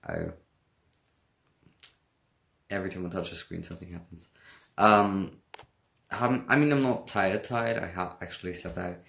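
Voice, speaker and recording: A young man with a German accent talks casually on a phone livestream from home, sounding tired. His voice sits very low with a gravelly, creaky texture. He rambles, corrects himself mid-sentence and laughs often. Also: very animated, a little slow, long pauses, a bit bright. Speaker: livestream host. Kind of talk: casual livestream chat reading comments.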